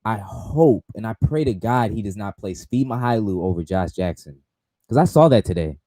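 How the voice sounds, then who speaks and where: A man in his twenties chatting casually with his live-stream viewers from a home setup, excitable and repetitive. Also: on the low side, normal speed. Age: 20s. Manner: excitable, repetitive, talks straight to chat. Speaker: sports streamer. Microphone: desk mic and headphones.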